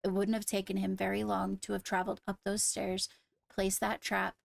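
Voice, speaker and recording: A guarded young royal played by a woman in a game roleplay, with a gravelly fry in a mid-pitched voice. She reasons aloud carefully and deliberately, hedging as she weighs each suspect. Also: monotone, dry recording. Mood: serious, deliberating. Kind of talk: improvised Minecraft roleplay.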